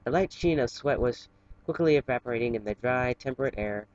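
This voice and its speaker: Guy reading fanfic out loud, casual, trips over words a bit, dry muffled lo-fi mic. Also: medium pitch, a little fast, no room sound. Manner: informal, stumbles over words. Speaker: fan fiction reader for an online channel. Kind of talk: amateur story reading with casual asides. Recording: dull, lo-fi home recording.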